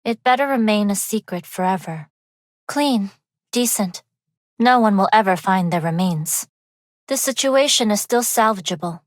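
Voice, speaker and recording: A woman voicing a refined young underworld heiress in a video game, speaking in a composed, measured and formal way. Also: medium pitch, animated, no room sound, clean studio sound, natural voice. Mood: quietly menacing. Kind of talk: scripted game character voice lines.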